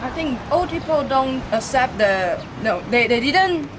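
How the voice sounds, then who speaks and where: A woman giving casual interview answers in a halting way, searching for words. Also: high-pitched, lively intonation. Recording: noisy outdoor field recording.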